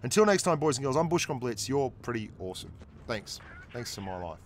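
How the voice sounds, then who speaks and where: A man's voice: mid-range voice, very animated, fairly quick, a little hiss or hum. A man with an Australian accent giving chatty, joking commentary over his own tank gameplay as host of a gaming channel. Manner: chatty, joking, self-deprecating.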